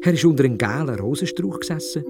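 A man with a Swiss German accent telling a children's story in dialect, expressive and playful. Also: fairly high pitch, quick pace, vocal fry, over loud music.

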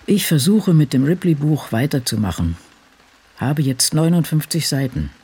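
A man's voice: fairly high pitch, expressive, normal speed, darkish tone, slightly rough, heavily acted. An actor playing a solitary, crotchety old woman novelist, reflecting and musing to herself in a radio drama. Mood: musing, working. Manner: musing, talking to self. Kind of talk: radio drama monologue, diary reading.

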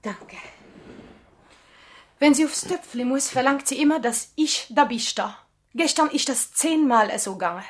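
Radio drama: overwrought, dramatic mother, high-pitched, exasperated, given to French interjections. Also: a little slow, long pauses. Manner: theatrical, complaining, peppers speech with French exclamations. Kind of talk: German-language radio drama acting.

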